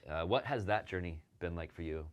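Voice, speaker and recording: Deep smooth guy hosting a chat, lots of um and you know. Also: a little fast, highs cut off. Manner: warm praising, many fillers. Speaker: show host and agency owner. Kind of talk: casual interview.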